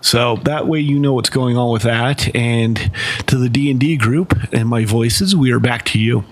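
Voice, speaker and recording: Male streamer, casual rambling explainer, mid-pitch voice, lots of fillers. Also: moderate pace. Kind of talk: casual live-stream chat.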